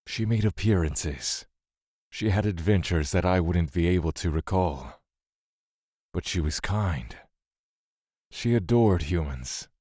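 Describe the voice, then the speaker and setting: Low, husky god narrating slowly. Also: male voice, darkish tone, dry recording, clean studio sound. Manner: slow, solemn, brooding storytelling. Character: weary male god recounting his love and exile. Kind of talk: dramatic audio drama narration.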